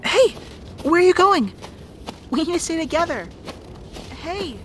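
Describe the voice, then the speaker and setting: A woman's voice: very high-pitched, very animated, unhurried, noisy background, exaggerated voice. A woman playing a brusque, guarded young rat princess in an audio roleplay drama, bossy and conversational. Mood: brusque, demanding.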